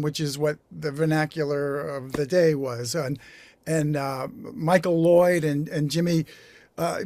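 A man in his sixties with an American accent, talking reflectively in an interview, halting and correcting himself as he goes. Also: a little high, darkish tone, no room sound. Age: 60s. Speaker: songwriter guest. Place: small home recording studio. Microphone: video call.

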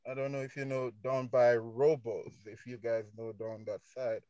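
A man's voice: mid-range voice, little pitch movement, moderate pace, dark tone, smooth, no echo. Young Jamaican man, dull lo-fi call. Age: young adult. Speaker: panelist, business owner. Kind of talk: casual anecdotal talk. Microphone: phone on a video call.

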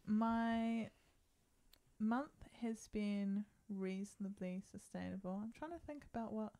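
A woman co-hosting a casual podcast chat, relaxed and giggly, with a soft, lower tone. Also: slow. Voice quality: lower, docile tone. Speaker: podcast co-host.

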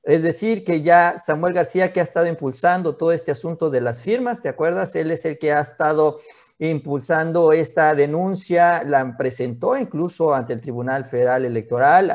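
A man's voice: fairly high pitch, a little mellow. A Mexican man in his forties giving conversational political commentary in an explanatory, roundabout way, recorded in a small office. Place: small home office, indoors.

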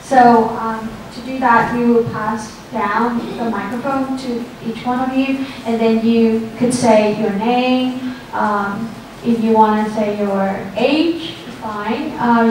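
Slow-speaking woman moderator, echoey hall. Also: on the high side, a little mellow, a little hiss or hum. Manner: unhurried, filler-heavy, friendly instructions. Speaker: event moderator. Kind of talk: hosting a class session. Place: large conference room. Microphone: handheld mic at podium, far camera. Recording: echoey hall heard from far off.

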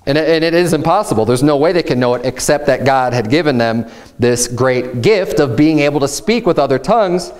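A man in his thirties preaching a Baptist sermon at a pulpit, reading scripture slowly and rising to emphatic highs as he explains it. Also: expressive, a little mellow. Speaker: pastor. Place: church room, standing at a pulpit.

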